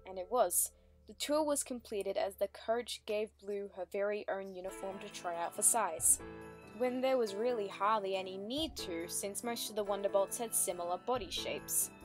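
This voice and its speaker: Woman narrator reading a story, medium pitch, faint music behind her. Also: moderate pace. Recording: music under the voice.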